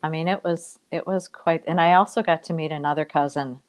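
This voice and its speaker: A woman's voice: deep voice, fairly even intonation, fairly quick. A middle-aged woman, a guest on a video call, telling family stories in a rambling, reflective way from a room at home. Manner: warm, rambling, reflective storytelling. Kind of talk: casual storytelling in an interview. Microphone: webcam on a video call.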